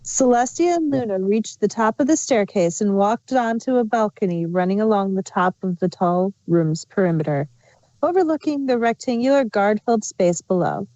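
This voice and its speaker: A woman's voice: mid-range voice, animated, normal speed, dark tone, dry recording, dull audio. A woman reading scripted fan fiction aloud as the narrator.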